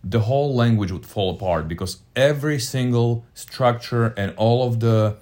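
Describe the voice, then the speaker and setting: Blunt, opinionated man, medium pitch. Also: moderate pace, a little mellow. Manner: blunt, scornful, emphatic. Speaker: podcast co-host. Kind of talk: casual opinionated banter.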